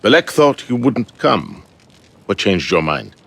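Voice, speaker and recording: An actor playing a solemn elder master of a secret assassin brotherhood in a video game, speaking gravely and ceremonially over music. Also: male voice, fairly low pitch, sing-song, exaggerated voice. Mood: solemn, testing. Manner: slow, grave, ritual delivery. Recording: loud music behind the voice.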